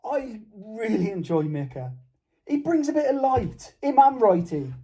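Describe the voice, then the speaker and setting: A man co-hosting a casual football chat, chatty and playful, with a voice he calls high-pitched. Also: sing-song, unhurried, mellow, husky. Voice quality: says he has a high-pitched voice. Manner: chatty, playful, argues back easily. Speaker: podcast co-host.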